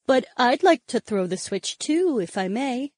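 A man voicing a polite, gentle young woman with courteous, measured speech in a storybook. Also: very high-pitched, sing-song, no room sound, studio quality, pitched above the performer's own voice, full character voice. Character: polite, soft-spoken young brunette woman. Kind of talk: audiobook character dialogue.

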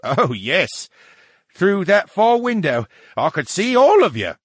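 Man doing a grumbling, high-strung talking brain, swooping pitch. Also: sing-song, slow pace, a bit bright, husky, no echo, clean studio sound, exaggerated voice. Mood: nosy, opinionated. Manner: indignant, theatrical complaining. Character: grumbling, needy, boisterous talking brain in a tank. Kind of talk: audiobook character dialogue.

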